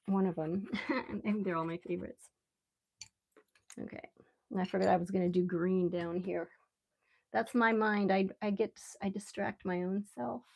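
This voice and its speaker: Relaxed, creaky-voiced woman chatting while drawing. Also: mid-range voice. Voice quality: relaxed, soft-edged voice. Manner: rambling, unhurried, thinking aloud. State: relaxed, mildly sedated after anesthetic. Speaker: live stream artist host. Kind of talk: casual live stream chat while drawing.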